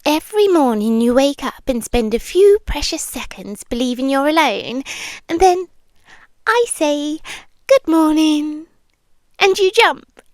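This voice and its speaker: A British woman playing a possessive yandere girlfriend who lives in the listener's head, speaking in an intimate, breathy ASMR style with teasing pauses and frequent drops into whispers. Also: high-pitched, lively intonation, darkish tone, no echo. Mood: smug, coaxing. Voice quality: breathy, often dropping into whispers. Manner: slow, teasing, coy, with drawn-out pauses. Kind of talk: ASMR roleplay, intimate close address. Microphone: close, dry microphone.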